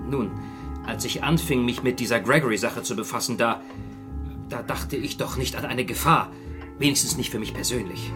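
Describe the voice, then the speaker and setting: A man acts out a frightened amateur detective in a crime radio play. He speaks haltingly and earnestly in a mid-range voice, insistent and easily put on the defensive, over clear music. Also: mid-range voice, close to an everyday voice. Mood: anxious. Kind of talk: German radio crime drama acting.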